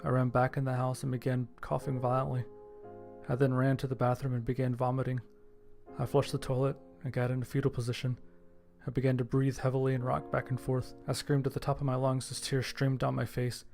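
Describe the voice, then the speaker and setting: A man reading scripted first-person horror fiction as its narrator, with a gravelly voice. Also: medium pitch, completely flat delivery, a little fast, a little mellow, faint music behind.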